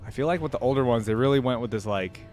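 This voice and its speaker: A man hosting a reaction video, thinking out loud in casual, informal and enthusiastic commentary. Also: medium pitch, rapid-fire. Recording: music playing behind the voice. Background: game login music.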